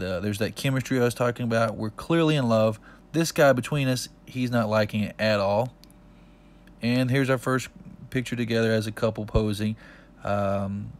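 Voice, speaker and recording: A man's voice: mid-range voice, moderate pace. A young man casually retelling a fan meet-up in a playful, joking vlog, acting out quotes as he goes. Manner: playful, jokey, animated retelling with acted quotes. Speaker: vlogger.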